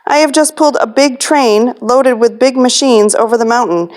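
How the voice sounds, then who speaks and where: A woman's voice: on the high side, normal speed, darkish tone, close to an everyday voice. A woman voicing a big, strong, self-important male freight engine in a storybook, pompous and indignant.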